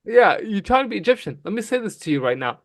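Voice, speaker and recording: A man's voice: high voice, very animated, no echo, studio quality. Emphatic Somali-speaking man, arguing hotly. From Canada. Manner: emphatic, fast, calls people bro. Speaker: guest on a livestream debate. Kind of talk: heated casual argument.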